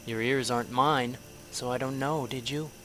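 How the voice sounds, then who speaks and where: A man's voice: mid-range voice, steady tone, normal speed, sharp tone, faint music behind, lightly acted. A man playing a sardonic, defiant soldier in a fan audio drama, with a dry, terse, sarcastic delivery. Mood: guarded, sarcastic. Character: sardonic, defiant male soldier, a shaman's son.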